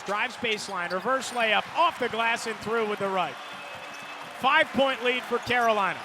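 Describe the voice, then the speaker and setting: High-pitched American man calling basketball. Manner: clipped phrases, builds to excited calls on big plays. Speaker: radio play-by-play announcer. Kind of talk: live basketball commentary. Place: courtside in a large indoor arena. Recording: live arena broadcast, loud court noise behind. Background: loud arena noise, bouncing basketball.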